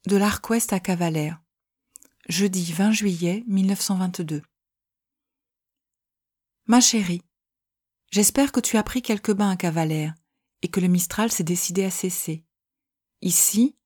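A woman reading historical letters aloud in a measured, gentle way. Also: mid-range voice. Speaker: reader.

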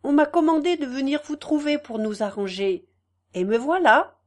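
A woman voicing a warm, humble young peasant mother with gentle, plain country speech. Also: high voice, lively intonation, normal speed, voice pushed up. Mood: cheerful.

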